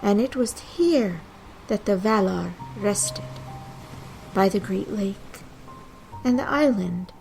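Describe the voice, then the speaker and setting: A woman narrating scripted mythology in a dramatic, deliberate storytelling manner with measured pauses. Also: medium pitch, animated, vocal fry, darkish tone, faint music behind, low-quality recording. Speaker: podcast host and narrator.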